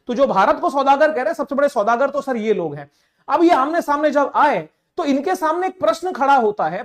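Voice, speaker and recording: A woman explaining current affairs in an emphatic, rhetorical way, addressing the listener directly. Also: high voice. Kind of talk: explanatory political commentary.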